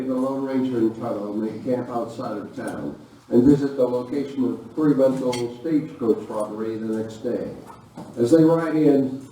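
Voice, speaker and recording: A man narrates a scripted radio play. His voice is mid-pitched and very dull in tone. It reaches the mic from a distance with heavy echo. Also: mellow. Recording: very echoey, distant hall, faint noise.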